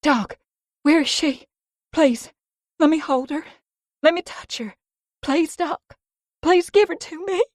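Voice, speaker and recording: A woman's voice: sits high, takes it slowly, pauses often, no room sound, studio quality, pitched above the performer's own voice. A woman voicing a distraught young woman in an audiobook, crying and screaming in anguish. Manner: hysterical, crying, shrieking. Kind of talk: audiobook dialogue.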